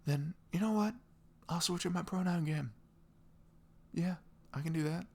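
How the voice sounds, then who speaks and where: A young man playing a sweet, caring boyfriend in an intimate ASMR roleplay, rambling casually in slangy, animated speech. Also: high voice, moderate pace, vocal fry. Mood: earnest, supportive. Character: sweet, caring, chatty boyfriend on a morning after. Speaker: audio roleplay voice actor.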